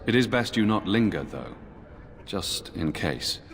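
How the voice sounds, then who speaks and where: An actor playing a stern, dutiful knight commander in a fantasy game, formal and measured. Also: male voice, fairly low pitch, expressive, a bit bright. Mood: grave, cautious. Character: stern, dutiful templar knight commander, wary but kindly. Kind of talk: fantasy video game voice acting. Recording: echoing hall, music behind.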